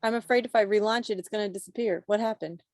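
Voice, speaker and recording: A woman's voice: mid-range voice, fast talker, darkish tone, no room sound. A woman hosting a quiz at an online club meeting, chatting casually over a video call.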